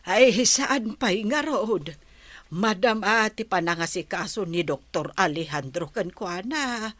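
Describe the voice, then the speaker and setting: A woman voicing a concerned, kindly elderly grandmother with slow, drawn-out speech in an audio drama. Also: mid-range voice, expressive, fairly bright tone, no echo, voice pushed down.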